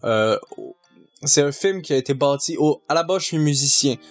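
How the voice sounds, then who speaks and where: A man's voice: fairly high pitch, lively intonation, normal speed, faint music behind. A man with a Quebec French accent, casually answering viewer questions and thinking aloud as he talks. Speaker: fandub group member.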